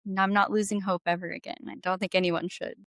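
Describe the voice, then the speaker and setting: A woman's voice: fairly low pitch, level tone, fast talker, a bit bright, dry recording, studio quality. A young adult woman chatting casually as an interview guest, candid and gushing in an informal way. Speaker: guest, singer-songwriter. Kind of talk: casual chat, storytelling.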